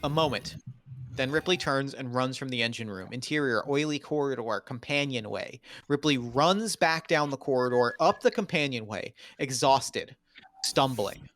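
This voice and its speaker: A man's voice: medium pitch, moderate pace, a bit bright. A man narrating screenplay stage directions in dramatic, clipped phrases for a table read.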